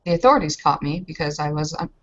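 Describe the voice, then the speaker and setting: Woman playing a shaken, naive young girl, low-pitched. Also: animated, fairly bright tone, muffled recording. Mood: upset, defensive. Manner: hesitant, halting, earnest.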